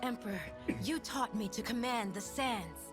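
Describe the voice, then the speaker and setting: Woman voicing a loyal, high-voiced desert soldier. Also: moderate pace, natural voice. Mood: devoted, resolute. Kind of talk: fantasy game voice acting. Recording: music behind the voice.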